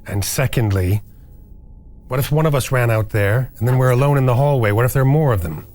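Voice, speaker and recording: A man's voice: medium pitch, fairly quick, darkish tone. A man playing a tabletop roleplaying game, chatting casually and talking in character.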